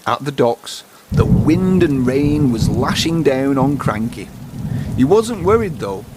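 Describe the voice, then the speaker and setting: Male storybook reader, mid-pitched voice, read-aloud narration for children. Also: sing-song, slow, heavy background noise.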